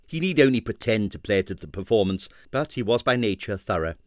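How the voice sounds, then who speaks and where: Guy narrating an audiobook, really animated delivery, dry close sound. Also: on the low side, fast, no room sound. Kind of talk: dramatic scripted narration of a comic novel.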